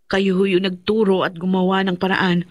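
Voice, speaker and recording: Woman playing stubborn young lady, impatient but polite, medium pitch, dry recording, radio drama. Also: level tone, moderate pace, no room sound, lightly acted. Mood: determined, respectful. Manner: respectful but headstrong. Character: determined, impatient young woman seeking magical power.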